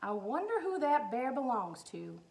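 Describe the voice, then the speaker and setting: A woman's voice: a little high, big pitch swings, measured pace, creaky, darkish tone, distant mic, heavily acted. A woman voicing a kindly, thoughtful male artist in a storybook, musing aloud with mild puzzlement.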